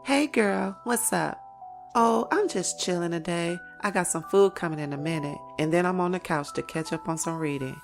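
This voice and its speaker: A woman's voice: fairly low pitch, moderate pace, creaky, soft background music. A woman playing a sassy, scheming girlfriend in an audio drama, with casual, teasing slang. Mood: relaxed chatty.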